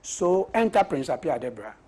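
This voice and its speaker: A Ghanaian man with a husky voice and Ga as his mother tongue, answering interview questions in deliberate short phrases. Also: fairly high pitch, sing-song, darkish tone. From Osu, Accra, Ghana. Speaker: parliamentary candidate being interviewed. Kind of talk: conversational political interview.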